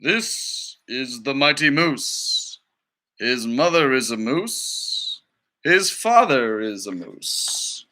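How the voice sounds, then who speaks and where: A man's voice: medium pitch, very animated, bright, forceful tone, pitched below the performer's own voice, exaggerated voice. A man voicing a grand nature documentary narrator in a storybook, solemn and portentous in delivery. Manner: slow, portentous.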